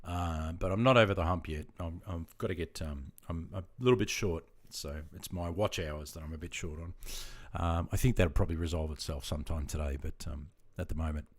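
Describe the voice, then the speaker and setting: A middle-aged man from Sydney with an Australian accent, deep-voiced and chatty, talking casually with viewers on his gaming livestream. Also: brisk, hardly any pauses. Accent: Australian, with some Queensland features. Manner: chatty, friendly, greets viewers by name.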